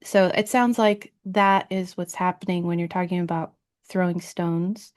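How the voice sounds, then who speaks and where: A woman's voice: lowish voice, steady tone, measured pace. A woman hosting a podcast interview, explaining her ideas in a chatty way and often checking in with "right?". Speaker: podcast host. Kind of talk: conversational interview.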